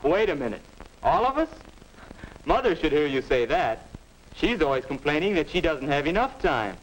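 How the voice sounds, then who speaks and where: A man's voice: fairly high pitch, big pitch swings, normal speed. A man playing a restless, doubting American teenage boy in a 1950 educational film, earnest and stagey, on a noisy old soundtrack. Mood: doubtful.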